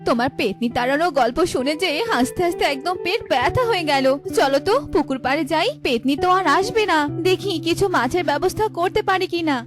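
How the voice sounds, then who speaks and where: A woman voicing a sweet, good-hearted female fox wife in a cartoon, soothing and expressive. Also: very high-pitched, fairly quick, few pauses. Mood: curious. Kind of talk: cartoon acting. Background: music.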